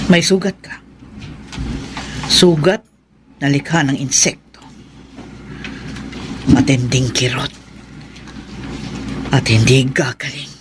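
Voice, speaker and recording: A scheming older woman who casts hexes on her neighbors speaks in a raised, cold and insinuating voice, as a man plays her. She presses and threatens in a radio drama. The recording carries a steady hiss of noise. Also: on the high side. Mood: menacing.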